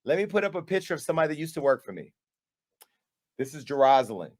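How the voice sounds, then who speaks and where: A man hosting his own show, delivering a dramatic callout monologue with sass, swinging between hushed asides and loud, punchy bursts. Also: on the high side, sing-song, quick pace. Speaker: media company owner and host.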